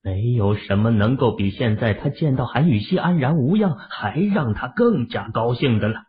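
A man with a husky voice narrating a fantasy novel as an audiobook in an emotive storytelling manner. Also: medium pitch, lively intonation, moderate pace. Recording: muffled lo-fi audio.

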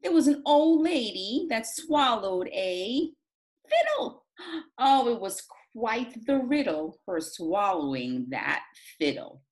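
A woman speaks to young children as their teacher, encouraging and expressive. Her voice is fairly high and swings widely in a sing-song way, at an unhurried pace. It sounds like a home classroom picked up on a computer mic. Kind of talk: read-aloud and craft lesson for young children. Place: small indoor home classroom. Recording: roomy, echoey home room sound.

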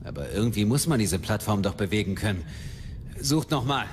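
An actor playing a brisk, authoritative military captain with clipped delivery in a radio drama. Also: male voice, fairly low pitch, very animated, slight background noise. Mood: commanding. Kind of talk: German radio drama acting. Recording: long hall-like reverb.

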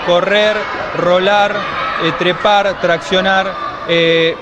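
A middle-aged man with an Argentine accent explaining a gymnastics program in measured answers with many "eh" fillers, speaking into a handheld mic in an indoor gym. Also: high-pitched, fairly even intonation. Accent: Argentine Spanish. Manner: measured, with frequent hesitant fillers. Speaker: gymnastics school coordinator. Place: indoor gymnastics hall with children. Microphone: handheld mic held by interviewer. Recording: loud crowd and noise behind.

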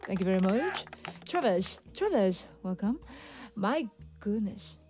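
A woman's voice: mid-range voice, big pitch swings, unhurried. Korean-accented woman chatting between songs. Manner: chatty, laughs often, soft and friendly. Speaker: livestream pianist host. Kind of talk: casual chat with viewers, reading donations. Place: cozy home music room with Christmas decorations. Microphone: studio condenser mic on stand.

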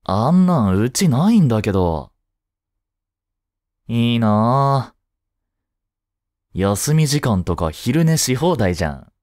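A man's voice: mid-range voice, very animated, slow, long pauses, darkish tone, no room sound, clean studio sound. A young man playing a laid-back, protective teenage childhood friend in a casual voice drama, speaking bluntly and teasingly as if to the listener. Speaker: voice actor. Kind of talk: first-person voice drama, casual chat to the listener.

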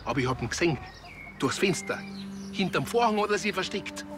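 An actor with a Bavarian accent playing a grumbling village neighbour, gruff and indignant. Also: male voice, high voice, expressive, a little fast, over music.

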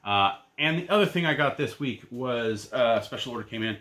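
A man in his thirties giving a casual, rambling rundown of his weekly comic picks from a shelf-lined room at home, full of ums and uhs and trailing off quietly. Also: medium pitch, expressive, a little fast. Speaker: comic book vlogger. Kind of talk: casual pull-list rundown.

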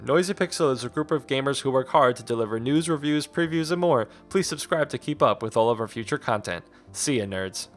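A man reading a scripted game review in a measured, formal way. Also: mid-range voice, a bit bright. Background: background music.

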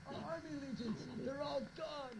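A woman's voice: high-pitched, normal speed, creaky. A woman in improvised amateur acting, anxious and repetitive, recorded faintly from a distance. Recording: faint, distant, voices and noise around.

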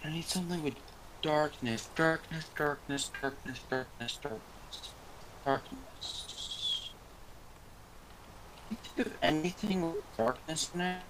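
A man's voice: on the high side, slow pace, long pauses, fairly bright tone, slight background noise, low-quality recording. A man co-hosting a gaming video, joking with a friend and whispering to himself as he crafts. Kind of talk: casual gaming chat.

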